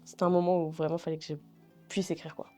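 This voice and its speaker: A young woman chats in a relaxed, unhurried way, her voice low-pitched and mellow. She pauses often and fills gaps while she searches for words. Faint music sits under the conversation. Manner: relaxed, hesitant, many fillers. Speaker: singer-songwriter guest. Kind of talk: casual interview chat.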